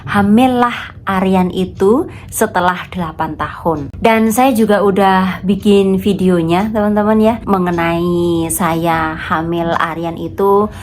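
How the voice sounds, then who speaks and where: A woman's voice: fairly low pitch, a little hiss or hum. A woman in her thirties from Indonesia with a stuffy, congested nose from a cold, chatting casually to her viewers in a home vlog. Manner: chatty, addresses viewers as friends. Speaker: vlogger.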